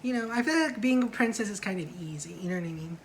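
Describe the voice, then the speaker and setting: A woman's voice: mid-range voice, lively intonation, quick pace, low-quality recording. Chatty woman with noise behind. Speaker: vlog host reviewing a TV show. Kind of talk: casual chatty review.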